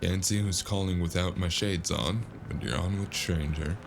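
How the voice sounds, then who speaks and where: A man's voice: low-pitched, gravelly, noisy background. An actor playing a wry, world-weary cyberpunk freelancer who narrates his own noir tale in a sardonic, musing way. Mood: dryly sardonic. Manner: sardonic, musing, drawn-out first-person storytelling. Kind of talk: noir audio drama narration. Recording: music behind the voice.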